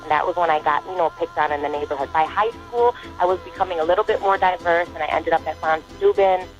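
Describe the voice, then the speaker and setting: A woman being interviewed for a radio show, telling her stories with lively swings in her voice and laughing often. The sound is a bit muffled and lo-fi. A faint bed of music sits underneath. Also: fairly low pitch, fast talker. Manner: animated storyteller, laughs often. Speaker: actress, interview guest. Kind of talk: casual interview conversation.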